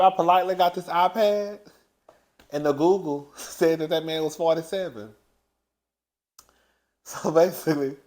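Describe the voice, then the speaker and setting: A man in his thirties hosting a gossip vlog, chatty and animated, laughing often as he talks. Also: on the high side. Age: 30s. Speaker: host.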